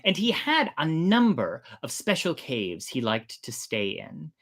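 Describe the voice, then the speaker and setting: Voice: medium pitch, wildly swooping pitch, a little slow, fairly bright tone. An enthusiastic, emphatic host of indeterminate gender telling stories and explaining things in a casual way. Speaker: podcast host and storyteller.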